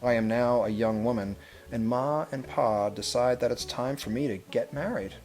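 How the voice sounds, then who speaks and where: Male storybook reader, mid-pitched voice, measured and expressive delivery, instrumental music underneath. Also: low-quality recording. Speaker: head of school reading a picture book to children. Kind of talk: storybook read-aloud with brief asides.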